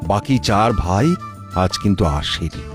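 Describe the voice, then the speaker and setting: A man narrating a folk-tale cartoon in a deliberate, expressive storytelling manner. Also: deep voice. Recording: music behind the voice. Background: background music.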